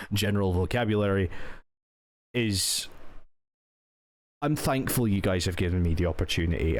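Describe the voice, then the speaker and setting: A young Scottish man talking hesitantly and sincerely in a personal vlog update, full of restarts and thanks. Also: deep voice. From Scotland. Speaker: channel owner and roleplay group member.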